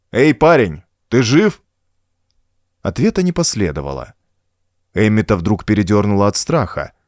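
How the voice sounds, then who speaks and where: A man narrating a horror story as an audiobook, in an expressive, suspenseful storytelling manner. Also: on the low side, no echo, clean studio sound.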